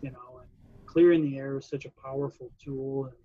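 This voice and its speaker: A man's voice: mid-range voice, fairly even intonation, brisk, mellow, highs cut off. A man, a coach, talking casually and reflectively, with many "you know"s. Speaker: wrestling coach. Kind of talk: casual conversation.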